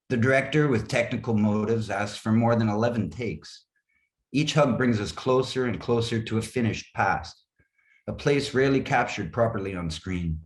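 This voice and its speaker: In a roomy, echoing recording, a man with a fairly low voice reads as a writer presenting his work. His delivery is literary and expressive, with dramatic phrasing. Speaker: writer reading own piece. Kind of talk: literary reading of a first-person story.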